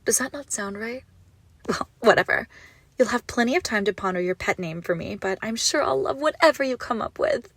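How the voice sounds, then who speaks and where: A woman playing an obsessive, gushing yandere kidnapper in a roleplay audio drama, menacingly sweet, with breathy, doting coos. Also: on the high side, expressive, a little fast, fairly bright tone, no echo. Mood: lovestruck, possessive. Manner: breathy doting coos, often dropping to whispers. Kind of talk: roleplay audio drama, intimate monologue to listener.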